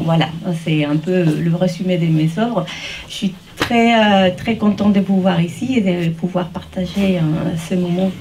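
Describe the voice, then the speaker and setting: A woman's voice: mid-range voice, darkish tone. A woman from Mexico with a Mexican accent, giving an informal, earnest speech as an artist and often searching for words. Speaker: artist, paper sculptor. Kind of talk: informal speech at an exhibition opening. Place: exhibition opening, speaking to guests. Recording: distant microphone, noisy room ambience.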